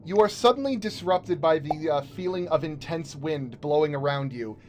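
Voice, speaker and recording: A man in his thirties acting as game master and stream host, narrating and chatting in a halting, conversational way. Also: fairly high pitch. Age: 30s. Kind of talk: improvised tabletop game narration and casual chat. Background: music.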